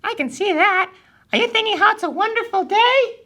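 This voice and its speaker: A woman voicing an excitable, melodramatic puppet boy in a children's puppet show, stammering and whiny with goofy exaggeration. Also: extremely high pitch, brisk, exaggerated voice. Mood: forced cheer.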